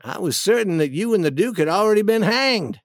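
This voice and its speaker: An earnest runaway boy from a storybook, acted out by a narrator. He pleads his case anxiously and hurriedly, with lively intonation in a dry, close recording. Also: mid-range voice, a little fast, dry recording, close to an everyday voice.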